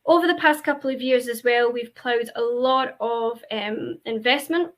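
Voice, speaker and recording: Upbeat Glaswegian woman, slightly high voice. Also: moderate pace. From Scotland. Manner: enthusiastic, promotional, with frequent fillers. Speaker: university representative. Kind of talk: presentation to prospective students.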